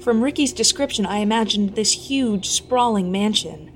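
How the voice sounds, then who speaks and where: A woman's voice: medium pitch, slight background noise. Woman narrating a scary story. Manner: measured, expressive storytelling. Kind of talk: scripted first-person horror fiction narration.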